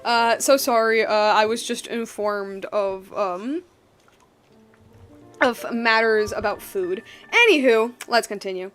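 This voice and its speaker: A woman game streamer giving casual, animated reaction commentary on a playthrough, slangy and repeating her words. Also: on the high side, slow pace, faint music behind.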